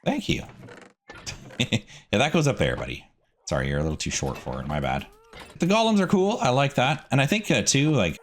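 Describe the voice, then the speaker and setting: A man hosting a gaming video, giving casual, chatty gameplay commentary and thinking out loud as he goes. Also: medium pitch.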